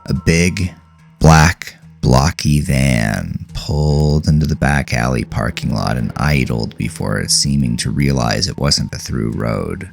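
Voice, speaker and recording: A man's voice: rumbling low, slow, creaky. A man reading a scripted horror story as its narrator. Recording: music behind the voice. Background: music.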